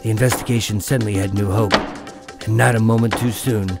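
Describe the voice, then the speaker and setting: Guy acting undercover agent in a radio drama, low gravelly voice, determined n guarded. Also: fairly even intonation, fairly quick, over loud music, natural voice. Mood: reflective. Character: determined undercover male agent narrating his mob investigation. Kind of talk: radio drama acting and first-person narration.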